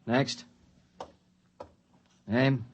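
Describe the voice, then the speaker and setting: An actor playing an indifferent, officious processing clerk with a clipped bureaucratic delivery, in 1950s radio drama style. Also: male voice, medium pitch, steady tone, drawn-out words.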